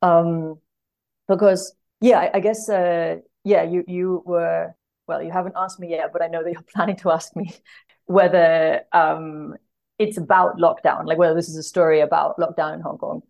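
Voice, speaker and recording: A woman's voice: lowish voice, flat delivery, normal speed, creaky, a little mellow. A woman guest talking thoughtfully about literature in conversation, hesitant and correcting herself as she thinks aloud. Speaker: podcast guest, literary translator.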